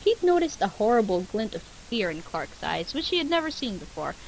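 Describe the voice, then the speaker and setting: A woman's voice: fairly high pitch, very animated, a little fast, gravelly, low-quality recording. A woman narrating a fan fiction as a comic dramatic reading, with playful, exaggerated storytelling. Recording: hissy amateur home recording.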